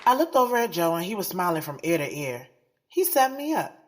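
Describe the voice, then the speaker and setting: A woman's voice: on the low side, sing-song, a little fast, a loud crowd, heavy background noise. An actress playing a guarded, skeptical young woman poet in an audio drama, speaking in a candid, conversational, street-smart way. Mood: reflective, candid. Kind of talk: audio drama dialogue and first-person narration. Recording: music playing behind the voice.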